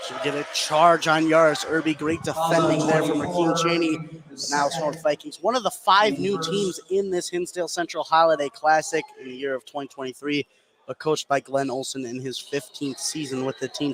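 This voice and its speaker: An American man giving live high school basketball play-by-play in an energetic, clipped running style. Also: moderate pace, crowd noise. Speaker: play-by-play commentator. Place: indoor basketball gym, courtside broadcast. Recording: clear courtside gym noise.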